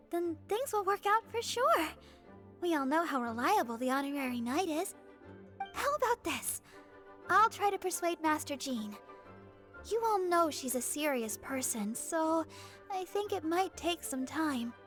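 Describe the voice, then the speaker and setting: Sweet, high-pitched teenage girl. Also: a bit bright, exaggerated voice. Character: sweet, gentle, eager-to-help teenage idol girl. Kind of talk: anime game voice acting. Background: game music.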